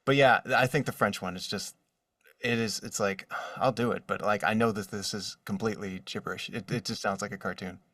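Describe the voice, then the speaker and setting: A man with a lowish voice talks casually as an interview guest. He has a joking manner, and he sounds set back from the microphone. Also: steady tone, a little fast, a bit bright, clean studio sound. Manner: joking, slips into mock accents to demonstrate. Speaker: voice actor guest. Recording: roomy echo, distant from the mic.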